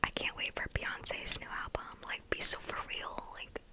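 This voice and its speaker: Whispering young woman from Texas. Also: normal speed. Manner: whispering casual rambling, many "like" fillers. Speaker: ASMR creator. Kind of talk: whispered ASMR chat.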